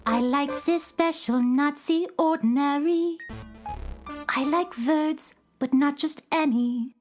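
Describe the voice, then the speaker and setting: A woman playing a dreamy beatnik cat-girl, singing in drawn-out rhyming lines for a children's radio musical. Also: high-pitched, darkish tone, faint music behind. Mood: dreamy, self-assured.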